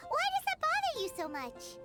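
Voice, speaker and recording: Very high, squeaky childlike fairy companion. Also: female voice, wildly swooping pitch, normal speed, exaggerated voice. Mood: blunt. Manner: speaks of herself in third person, blunt. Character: tiny childlike fairy companion, cheeky and excitable. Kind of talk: anime-style game voice acting. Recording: music behind.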